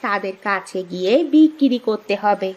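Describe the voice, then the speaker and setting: A woman voicing village wives in a Bengali fairy-tale cartoon, with an expressive, plaintive delivery. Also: medium pitch, normal speed, slight background noise, lightly acted. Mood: hopeful, musing. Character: village wives, grumbling housewife and poor humble stove seller. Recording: music under the voice.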